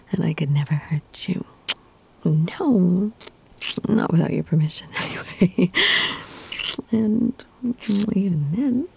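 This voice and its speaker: A woman speaking in a soft, breathy whisper with teasing murmurs, performing an intimate audio roleplay. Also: on the low side, a little hiss or hum.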